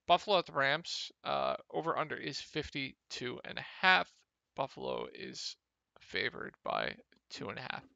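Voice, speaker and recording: A young man with a slightly hoarse, gravelly voice, casually talking through fantasy football picks over a screen share, with many ums. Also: medium pitch, moderate pace, no echo, dull audio. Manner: relaxed, lots of ums. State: a little hoarse. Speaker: fantasy sports analyst.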